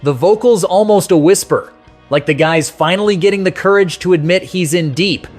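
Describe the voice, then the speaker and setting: Higher-pitched man narrating a nostalgic countdown. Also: moderate pace. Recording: music playing behind the voice. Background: music.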